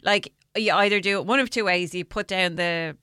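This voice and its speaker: Bright, frank Irish woman chatting. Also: medium pitch, moderate pace, clear, resonant tone, no room sound, clean studio sound. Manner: frank, chatty, laughs easily. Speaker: podcast co-host. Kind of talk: casual comedic chat.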